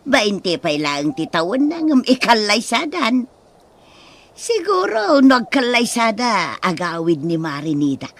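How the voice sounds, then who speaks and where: An actress playing a nosy, exclamatory village gossip in an Ilocano radio drama. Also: female voice, fairly high pitch, sing-song, slow, fairly bright tone, heavily acted. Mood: scandalized, gossipy.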